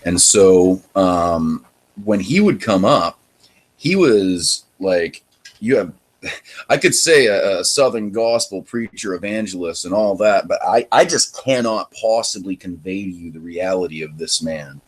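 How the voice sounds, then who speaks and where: A very low, deep male voice with a husky grain, belonging to a young man from Maine. He recounts his own past in a loose, candid ramble. When a point matters to him, he gets heated and emphatic. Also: lively intonation, a little slow. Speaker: podcast host. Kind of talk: personal testimony monologue.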